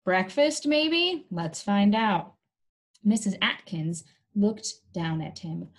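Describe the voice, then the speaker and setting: A young woman reading a storybook aloud to small children in an animated, coaxing way, pausing to ask them questions. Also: mid-range voice. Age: young adult. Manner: animated, coaxing, exaggerated delivery for young listeners. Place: small indoor room.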